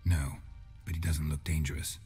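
Casting: deadpan monster hunter for fantasy game, extremely deep, gravelly, dark tone. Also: male voice, normal speed, faint music behind. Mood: stoic. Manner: deadpan, terse. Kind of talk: video game dramatic dialogue.

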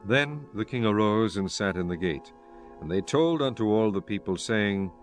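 A man's voice: fairly low pitch, animated, moderate pace, faint music behind. A man reading scripted narration from a dramatized scripture recording.

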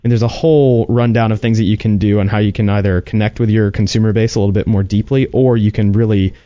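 A man's voice: fairly low pitch, steady tone, fast, mellow, dry recording, highs cut off. A man explaining things casually as a guest expert, in an informal, chatty way.

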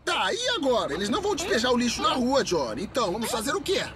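A man's voice: extremely high pitch, wildly swooping pitch, fast talker, a loud crowd, heavily acted. A man voicing a playful, wisecracking talking pet dog in a Brazilian Portuguese cartoon dub. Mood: wary. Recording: loud music behind the voice.

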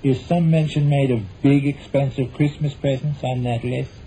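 An actor playing a stubborn, long-suffering husband with dry, pompous formality in a 1940s radio comedy. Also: male voice, mid-range voice, steady tone, moderate pace, warm tone, close to an everyday voice. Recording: muffled, noisy old 1940s radio broadcast.

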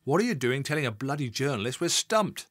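A man voicing a blustering middle-aged male senior police chief who scolds gruffly and with exasperation. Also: mid-range voice, big pitch swings, a little fast, fairly bright tone, dry recording, clean studio sound, voice pushed up. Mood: exasperated.

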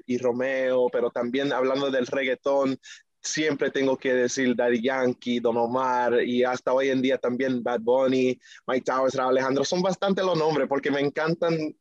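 A man's voice: medium pitch, no room sound, dull audio. A young man raised in the United States by a Dominican family, answering interview questions casually on a video call, with frequent fillers and easy laughs. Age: young adult. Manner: relaxed, laughs easily, many fillers and restarts. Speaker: guest musician. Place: small indoor room, video call.

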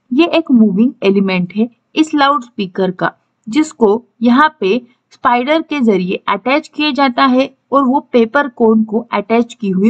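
A woman's voice: on the high side, highs cut off. A woman with an Indian accent teaching in an instructional way, reading out points and explaining them step by step. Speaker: electronics teacher. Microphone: voice-over on slides.

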